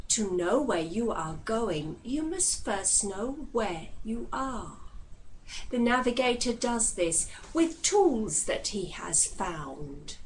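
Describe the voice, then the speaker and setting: A woman in her thirties reading poetry aloud in a deliberate, expressive way with dramatic pauses, recorded in a living room. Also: mid-range voice, rough recording. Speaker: poetry reader. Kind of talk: poetry recitation. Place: living room, seated in armchair. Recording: clear background room noise.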